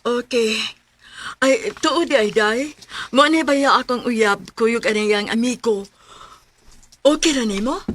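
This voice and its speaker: Chatty, high-voiced young Visayan friend. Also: female voice, takes it slowly, long pauses, fairly bright tone. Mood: chatty, friendly. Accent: Filipino, Visayan. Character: chatty, sociable young woman, a girlfriend introducing friends. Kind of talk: radio drama acting.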